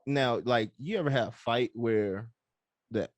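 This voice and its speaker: A loud, hyped man hosting a casual podcast interview with a fellow boxing coach. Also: medium pitch, measured pace, dry recording.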